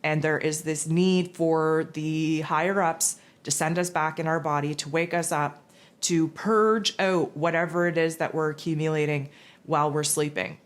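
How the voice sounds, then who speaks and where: A raspy, hoarse woman hosting a spiritual forecast, talking in a loose, rambling casual monologue. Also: sits low, steady tone, moderate pace. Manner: rambling, loose, with many fillers and restarts. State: hoarse from losing her voice.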